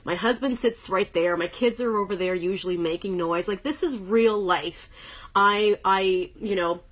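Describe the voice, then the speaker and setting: A woman's voice: mid-range voice, normal speed. A woman in her thirties from Canada, talking casually from a corner of her living room in a livestream, upbeat and chatty, greeting commenters by name and sharing her story as a sales presenter. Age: 30s. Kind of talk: casual livestream talk to viewers.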